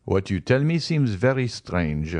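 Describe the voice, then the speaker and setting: A kindly older doctor, calm and measured, speaking slowly and soothingly in a low voice, as a male reader voices him. The sound is close and dry. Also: no echo. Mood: gentle. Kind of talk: audiobook character reading.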